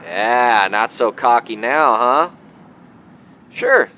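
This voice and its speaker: Radio drama part, male actor: smug, teasing boss to a rookie, chatty delivery, loud outdoor ambience. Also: mid-range voice, lively intonation, drawn-out words, highs cut off, a little hiss or hum, heavily acted. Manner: folksy, teasing, needling. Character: cocky male boss briefing a young intern. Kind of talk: conversational radio drama acting.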